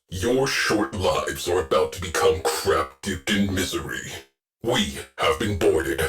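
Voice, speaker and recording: A gruff male artificial intelligence commanding a warship crew in a video game. The voice sits very low and moves slowly, with clipped, deadpan military phrasing. It stays sardonic and coolly authoritative, over faint music. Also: full character voice. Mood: stern, contemptuous. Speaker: ship's AI crew officer. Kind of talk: scripted video game voice lines.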